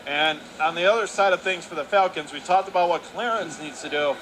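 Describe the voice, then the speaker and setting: A man calls live hockey play-by-play on the radio, quick and tight, and he surges into near shouting on goals. His voice sits high for a man. Clear arena noise runs under the call. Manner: rapid excited calling that rises sharply on goals. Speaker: play-by-play announcer. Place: arena broadcast position. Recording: noisy arena broadcast.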